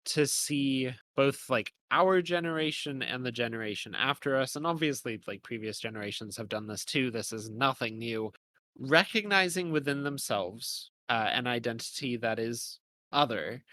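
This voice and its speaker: A man's voice: medium pitch, moderate pace, sharp tone, a little hiss or hum. A man co-hosting a podcast, talking through ideas casually and analytically in long, winding, hedged sentences. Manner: hedging, thinking aloud in long winding sentences. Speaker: podcast co-host.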